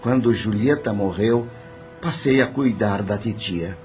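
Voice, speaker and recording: An actor with a Brazilian accent playing a dead, greedy, scheming nephew who confesses in a matter-of-fact, calculating way in an audio drama. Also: male voice, lowish voice, unhurried, darkish tone, soft background music, lightly acted. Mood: coldly confessing.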